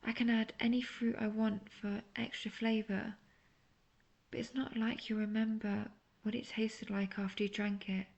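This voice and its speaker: Woman doing a lovestruck yandere witch, creaky n breathy, slow coaxing half whispers, audio drama roleplay. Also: medium pitch, level tone, a little mellow, lo-fi sound, lightly acted. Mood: sweetly menacing. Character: obsessive young female witch, lovestruck and manipulative.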